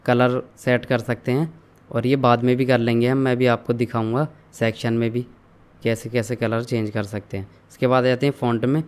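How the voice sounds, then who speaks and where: A young man calmly walking viewers through an online store setup, step by step, mixing English terms into his explanations. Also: medium pitch, clear, resonant tone. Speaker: online tutorial instructor. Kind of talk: screen-share software walkthrough.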